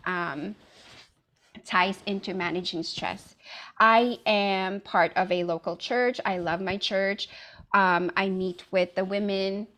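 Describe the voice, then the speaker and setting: A woman's voice: mid-range voice, a bit bright. A middle-aged woman from the Philippines giving a casual presentation with slides, with lots of "um" fillers. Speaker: wellness speaker.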